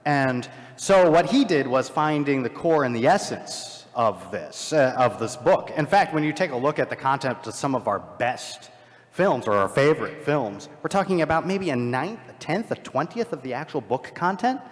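A man's voice: medium pitch, lively intonation, moderate pace. Rambling man on a convention panel. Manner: rambling, self-deprecating, lots of fillers. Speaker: panelist, filmmaker. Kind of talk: live panel discussion. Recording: long hall reverb.

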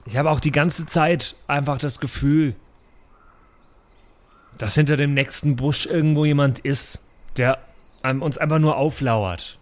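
A man's voice: a little high, fairly even intonation, a little slow, pauses often. A man chatting casually as a player in an improvised tabletop role-play show.

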